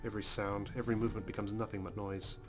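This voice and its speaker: A man's voice: fairly low pitch, flat delivery, very fast. A man hosting a let's play, reading visual novel narration aloud dramatically with casual, emotionally involved comments. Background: game music.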